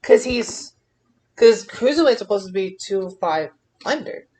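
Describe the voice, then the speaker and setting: A man co-hosting a casual wrestling podcast, excitable and stammering, often repeating his phrases. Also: high-pitched, a little slow, poor audio. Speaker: podcast co-host.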